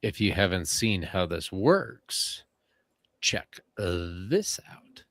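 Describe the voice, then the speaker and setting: Brief: male co-host, low slow drawl, lively pitch, dry close mic. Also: gravelly, dry recording. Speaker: podcast co-host. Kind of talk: casual chat.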